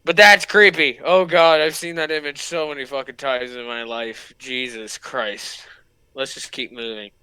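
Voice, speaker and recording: A woman's voice: rumbling low, fairly even intonation, moderate pace, pushed and bright, clear, resonant tone, no room sound, poor audio. A woman casually reacting as a video host, muttering short exclamations and swearing.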